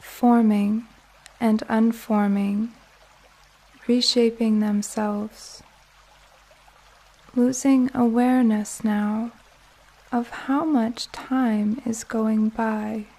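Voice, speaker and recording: Female meditation guide: soft and soothing, slightly high yet mellow, unhurried and calming delivery for sleep. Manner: gentle, calming, unhurried with long pauses. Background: soft music and flowing water nature sounds.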